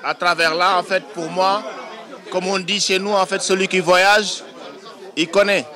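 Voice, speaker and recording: A man giving a slow, reflective documentary testimony outdoors in a city. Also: sits high, little pitch movement, bright, forceful tone, a faint crowd. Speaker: interviewee.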